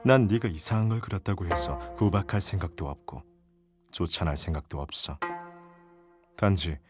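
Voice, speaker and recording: A man playing an amused, indulgent Joseon nobleman in a historical roleplay ASMR, with archaic, gently commanding, teasing speech. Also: deep voice, moderate pace, natural voice. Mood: indulgent, persuasive. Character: wealthy Joseon nobleman, amused, indulgent patron of a young gisaeng. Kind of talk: Korean historical roleplay ASMR audio drama. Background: traditional Korean court music.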